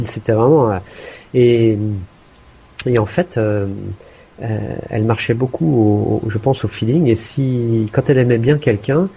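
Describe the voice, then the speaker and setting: A man chatting casually about learning a language, hesitant, with many restarts and fillers and the odd throat clearing. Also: mid-range voice, measured pace, dark tone, a little hiss or hum, poor audio. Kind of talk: casual conversation.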